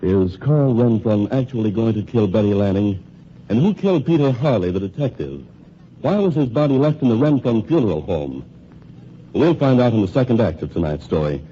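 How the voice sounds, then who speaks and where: A man's voice: fairly low pitch, sing-song, moderate pace, warm tone, a little hiss or hum. A male announcer giving dramatic scripted links in a radio drama. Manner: dramatic, questioning delivery. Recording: muffled 1940s radio recording.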